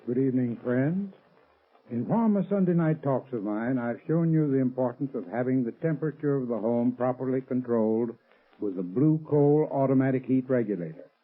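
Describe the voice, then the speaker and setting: A man giving a scripted 1930s radio advertising talk as an expert, measured, formal and instructive. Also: mid-range voice, lively intonation. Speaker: sponsor's heating expert. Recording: tinny band-limited vintage radio recording.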